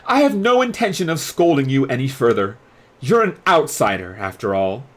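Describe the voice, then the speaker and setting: A man's voice: medium pitch, very animated, normal speed, voice pushed up. A man voicing a prim, stern schoolboy leader at a magic school, formal and haughty.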